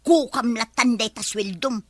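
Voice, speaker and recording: An actress with an Ilocano accent playing a dry, defiant, long-suffering wife in a radio comedy drama. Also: female voice, fairly high pitch, unhurried, a bit bright, dry recording.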